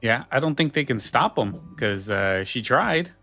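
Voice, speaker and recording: A man's voice: mid-range voice, animated, unhurried, sharp tone, no room sound. A man co-hosting a podcast, discussing an old radio show in casual chat. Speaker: podcast co-host. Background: faint music.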